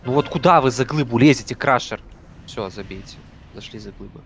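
A man leading a game raid over voice chat, giving brisk commands and swearing casually. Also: medium pitch, a little hiss or hum. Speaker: raid leader.

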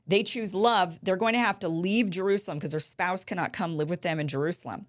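A woman in her thirties hosting a political interview show, telling stories with animated gestures in a small studio. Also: lowish voice, brisk. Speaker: host. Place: small studio with a bright backdrop. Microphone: studio mic on a boom.

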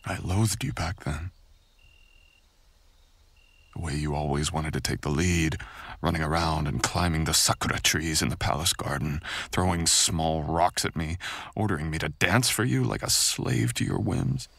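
A man playing a menacing, bitter, seductive samurai in an intimate ASMR roleplay, husky and breathy, often dropping into a whisper, with a taunting manner. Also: very deep voice, normal speed, fairly bright tone, no room sound, heavily acted.

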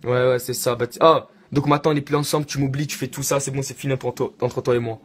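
A young man speaks quickly in a casual, slangy style with a theatrical streak. His voice sits at an average pitch, and he is recorded on a phone held at arm's length indoors. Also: level tone. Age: young adult. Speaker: online prankster. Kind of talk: casual prank call vlog. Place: small indoor room.

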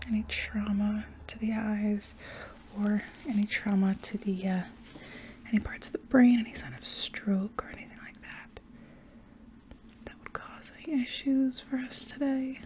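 A young adult woman in a whispered ASMR medical roleplay, breathy and soft, giving reassuring step-by-step instructions up close. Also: mid-range voice, fairly even intonation, unhurried. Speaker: ASMR roleplayer playing an anesthesiologist.

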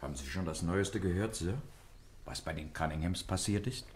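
Husky, deferential manservant. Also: male voice, low voice, moderate pace. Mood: grave, deferential. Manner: deferential, formal, restrained. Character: formal, discreet male servant bringing grave news. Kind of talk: German radio play acting.

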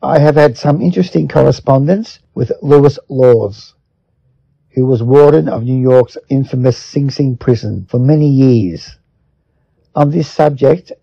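A man reading a self-help book aloud as an audiobook, in measured phrase-by-phrase narration. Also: medium pitch, gravelly, dark tone, husky. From Australia. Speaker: audiobook reader. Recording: dull lo-fi recording.

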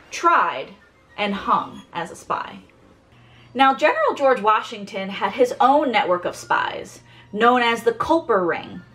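A woman's voice: on the high side, a bit bright. An American woman in her thirties giving a scripted educational presentation as host, recorded indoors at home. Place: small furnished room at home, indoors.

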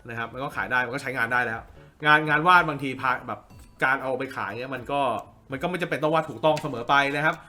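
A man's voice: mid-range voice, quick pace, sharp tone. A man giving an informal drawing tutorial as the teacher, repeating phrases for emphasis and often checking in with listeners. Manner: checks in with listeners with frequent tag questions.

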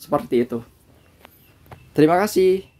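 Chatty man, slightly high voice. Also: normal speed. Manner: relaxed, chatty, addresses viewers as guys. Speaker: crypto channel host. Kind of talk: casual screen-recorded walkthrough. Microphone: voice-over on screen recording.

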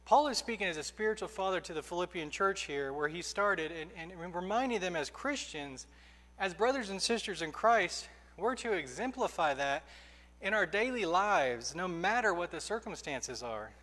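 Earnest high-voiced preacher in a reverberant hall. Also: male voice, expressive, bright, forceful tone. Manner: earnest, emphatic preaching with slowed stressed phrases. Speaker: pastor. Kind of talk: sermon. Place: church sanctuary, indoors.

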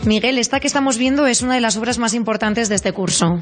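A woman's voice: fairly high pitch, quick pace, soft background music. A woman working as a TV reporter, putting questions to interviewees.

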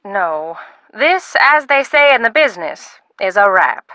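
Brief: sly older widow, game character, bright lively delivery, dry close sound. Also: female voice, mid-range voice, measured pace, no echo. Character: eccentric widowed older woman, secretive. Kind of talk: video game voice acting.

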